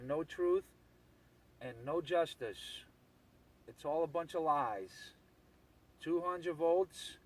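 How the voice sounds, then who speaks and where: A man transmitting as a CB radio operator, bantering casually in slang, teasing and repeating his phrases. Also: a little high. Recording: distant CB radio transmission from vehicle.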